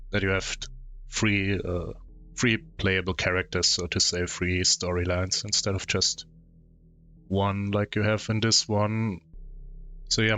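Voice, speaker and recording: German guy chatting thru a game, humming while he thinks, lowish bright voice, little music behind. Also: smooth. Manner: thinks aloud with hums and fillers. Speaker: streamer. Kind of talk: casual gameplay commentary and reading aloud.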